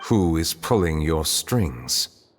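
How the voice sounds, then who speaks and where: An actor playing a calm, formal and stern chief justice in a video game, speaking with measured dignity in a reverberant space. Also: male voice, very deep voice, heavily acted. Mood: stern, controlled. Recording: reverberant hall, faint music.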